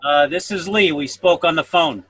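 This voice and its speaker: A man's voice: fairly high pitch, moderate pace, sharp tone, breathy edge, no echo. A man organizing over a group video call, speaking hesitantly with many fillers.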